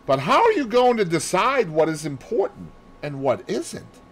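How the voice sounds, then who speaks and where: A man voicing an earnest, easily nettled gentleman companion in an audiobook, puzzled and protesting. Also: sits high, wildly swooping pitch, moderate pace, pitched above the performer's own voice, full character voice. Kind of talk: audiobook character voice.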